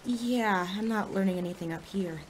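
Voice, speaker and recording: A woman's voice: mid-range voice, moderate pace, a little hiss or hum, natural voice, low-quality recording. A woman acting in an audio drama as a skeptical, sarcastic teenage girl, a reluctant and exasperated superhero sidekick. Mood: wary, questioning. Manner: exasperated, questioning, dry.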